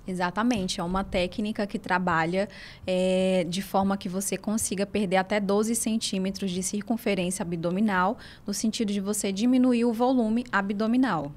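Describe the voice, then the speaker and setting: Northeastern brazilian woman guest explaining stuff, measured, keeps tagging "right?", mid pitch. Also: steady tone, a little mellow. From Brazil, Ceará. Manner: measured, explanatory, frequent tag questions. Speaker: guest physiotherapist. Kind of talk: interview answers.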